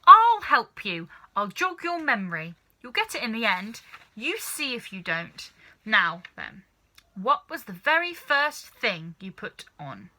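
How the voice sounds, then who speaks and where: Woman voicing a manic, excitable storybook dad, wildly swooping. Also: a little high, exaggerated voice. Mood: urging. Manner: exclaiming, urgent, swooping emphasis with drawn-out words. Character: manic, excitable middle-aged father, a farmer dreaming of riches. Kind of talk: children's storybook character reading.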